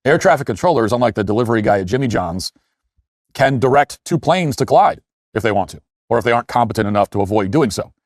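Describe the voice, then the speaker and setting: A man hosting an opinion commentary show, speaking in an emphatic, critical way with deliberate phrasing and asides. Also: medium pitch, expressive, no echo, studio quality.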